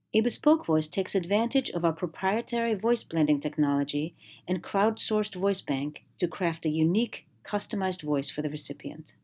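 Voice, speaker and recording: A woman narrates an instructional promo from a script. Her voice sits a little lower than most women's. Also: a little mellow.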